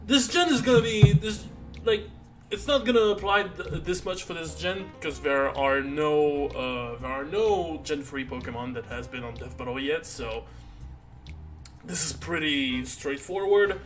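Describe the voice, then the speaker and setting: A tired man chatting casually on a live stream, rambling and halting with many restarts and fillers. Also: fairly high pitch. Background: soft background music.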